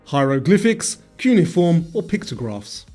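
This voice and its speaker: A man's voice: fairly high pitch, very animated, moderate pace, darkish tone, soft background music. A man presenting a quiz in scripted narration, reading out questions and answer choices.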